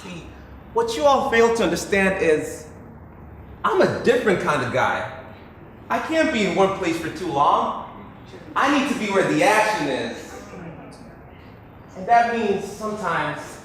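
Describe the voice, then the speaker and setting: A breezy, defensive playboy son in a stage play. His high, lively voice is flippant and theatrical. It carries across a very echoey theatre. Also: measured pace, pauses often, heavily acted. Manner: flippant, self-satisfied, theatrical. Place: indoor theatre stage, recorded from far off. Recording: echoey theatre, distant mic, faint noise.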